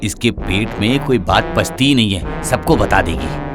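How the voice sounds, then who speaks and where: A man's voice: on the low side, animated, normal speed, over loud music, natural voice. A man playing a sly, coaxing village farmer husband in a cartoon story. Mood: tired, secretive. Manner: coaxing, exclamatory, wily.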